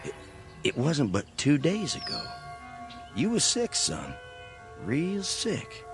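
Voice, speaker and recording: Flustered old country doctor. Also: male voice, a little high, huge pitch swings, slow, soft background music, heavily acted. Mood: defensive. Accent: rural American. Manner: hesitant, repeating himself. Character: flustered older country doctor, defensive and self-important. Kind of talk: radio drama acting.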